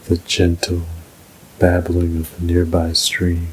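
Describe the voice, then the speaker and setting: A man narrating a scripted guided meditation in a soothing, measured, unhurried way. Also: sits low, monotone, darkish tone, a little hiss or hum, rough recording.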